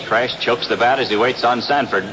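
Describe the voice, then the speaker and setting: A man's voice: mid-range voice, steady tone, moderate pace, noisy background. An American man calling baseball play-by-play in the style of a 1960s radio broadcast, recorded outdoors at a ballpark. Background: loud stadium ambience.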